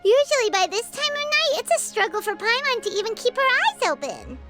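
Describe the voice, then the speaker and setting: A woman voicing a tiny excitable fairy companion girl who stammers and refers to herself in the third person, in a video game. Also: very high voice, fairly quick. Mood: teasing. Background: game music.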